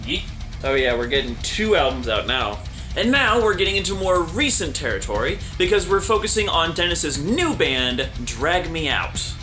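A man's voice: fairly high pitch, very animated, moderate pace, sharp tone, soft background music. Young male commentary host, casual and jokey, desk webcam in a CD-lined room. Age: young adult. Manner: sarcastic, jokey asides. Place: room with shelves of CDs.

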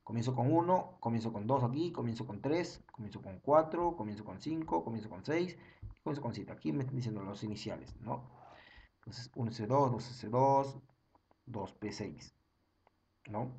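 A man's voice: mid-range voice, animated, moderate pace, darkish tone, muffled recording. A young man, teaching an online chemistry class over slides and counting through each step again and again. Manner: repetitive, step-by-step counting, frequent "ojo" and "ya".

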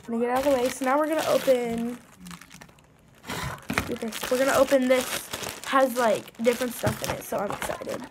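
A young woman vlogger with a stuffy nose from a cold, chatting excitedly as she unboxes things at a table. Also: high-pitched, moderate pace, noisy background. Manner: chatty, excitable, rambling with fillers. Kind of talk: casual unboxing chat. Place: indoors at a wooden table.

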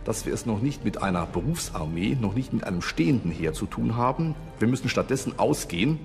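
Creaky low-voiced male expert in reverberant space. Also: lively intonation, fairly quick. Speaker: expert interviewee. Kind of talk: explanatory documentary interview. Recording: long reverb, faint music behind.

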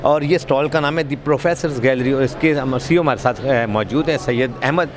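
A man's voice: mid-range voice, normal speed. A man in his forties with a Pakistani accent, interviewing on location as a TV reporter. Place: large indoor expo hall. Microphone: handheld reporter microphone. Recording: busy expo hall chatter behind.

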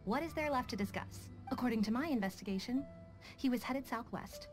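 A woman's voice: on the high side, faint music behind, lightly acted. Woman voicing composed, businesslike young detective. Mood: brisk, businesslike. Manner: composed, measured, matter-of-fact. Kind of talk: video game character dialogue.

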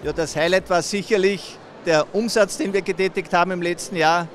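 High-voiced executive, even tone. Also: male voice, a little fast, soft background music. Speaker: company executive. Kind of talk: event interview.

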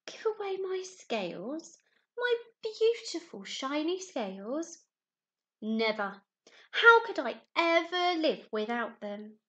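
A woman voicing a vain, beautiful male fish from a storybook, with dramatic, drawn-out delivery. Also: high voice, big pitch swings, bright, forceful tone, dull audio, heavily acted. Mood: shocked, indignant.

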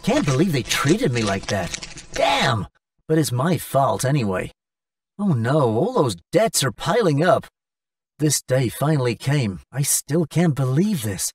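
Man playing a whiny cartoon son, swooping pitch. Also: sing-song, unhurried, dry recording, recorded from a distance. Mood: weary. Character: spoilt young gambler son, self-pitying and remorseful. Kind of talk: English-language cartoon acting.

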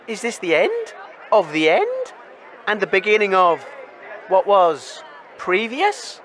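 Bumbling male reporter, played by an actor, radio comedy: very high, bright, hesitant, outdoor crowd behind. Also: slow, exaggerated voice. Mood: flustered, curious. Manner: flustered, hesitant, excitable. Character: excitable, bumbling male wasteland roving reporter. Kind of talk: comedic radio drama interviewing. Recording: outdoor crowd ambience, faint noise.

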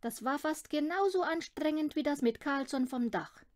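A woman's voice: sits high, normal speed, dry recording, clean studio sound. A woman reading a children's audiobook, narrating the story expressively. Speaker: audiobook reader.

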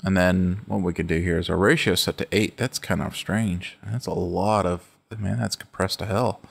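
A middle-aged man with a low voice talks through his production steps in an easygoing, rambling way. He trips over his words and restarts often, laughing easily as he goes. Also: moderate pace, vocal fry. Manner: relaxed, rambling, self-correcting, laughs easily. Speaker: music production tutorial host. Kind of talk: casual screen-recorded walkthrough.

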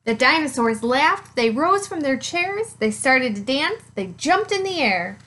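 A woman in her thirties reads a story for young children. Her voice is high and swoops up and down with lively expression. She takes her time, phrasing each line slowly and with emphasis. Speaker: nurse reading a children's picture book. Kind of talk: children's read-aloud storytelling.

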